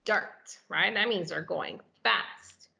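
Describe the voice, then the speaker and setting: A woman reading a picture book aloud to children, animated and expressive, with questions for young listeners. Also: lowish voice, slow, creaky. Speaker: story reader for children.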